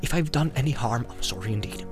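A man's voice: mid-range voice, fast talker, lightly acted. Guy doing a flustered innkeeper, stammery, chatty, sorry about everything, fantasy storybook with music behind. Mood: troubled, apologetic. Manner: hesitant, stammering, rambling.

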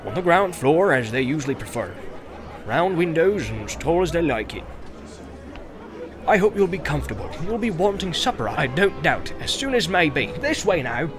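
A man's voice: fairly high pitch, big pitch swings, normal speed, a crowd in the background. A man voicing a bustling, talkative, forgetful stout male innkeeper who chatters on in a flustered rush. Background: music.

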